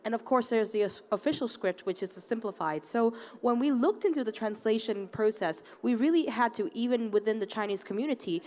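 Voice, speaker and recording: A woman's voice: a little high, darkish tone. A woman from Hong Kong speaking on a conference panel, earnest, often restarting and repeating words. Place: conference open area.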